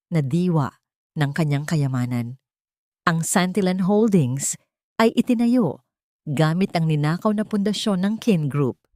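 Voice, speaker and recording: A woman voicing an elderly male lawyer who speaks in a measured, reassuring way in an audiobook drama. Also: low voice, mellow, no room sound, clean studio sound.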